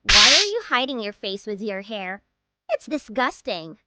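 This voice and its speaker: A woman's voice: a little high, sing-song, unhurried, very noisy. A woman voicing mocking teenage girl bullies in an anime-style dub, with a sneering, taunting manner. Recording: music bed behind voice.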